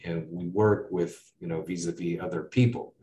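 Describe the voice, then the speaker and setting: A man with an American accent talks in a loose, rambling way, filling his pauses with "uh" and "you know". His voice sits low. He is recorded from a distance in a noticeably echoey room. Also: fairly even intonation, dark tone. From United States. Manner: rambling, many fillers and asides. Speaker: guest. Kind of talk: casual interview talk.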